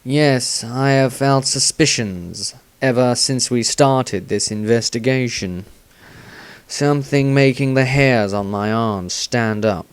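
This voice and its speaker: A man voicing a wary, grim male investigator in a fantasy story, deliberate and brooding in a formal way. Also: medium pitch, clean tone, no room sound, close to an everyday voice. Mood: suspicious.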